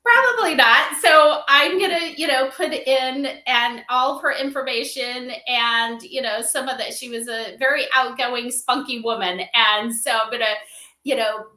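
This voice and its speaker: Middle-aged woman, bright forceful voice, roomy echo, animated emphatic podcast guest, conversational. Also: high-pitched, a little slow. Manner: animated, emphatic, often checks in with "right?". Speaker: podcast guest, spiritual advisor for an AI company. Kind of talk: conversational interview answers.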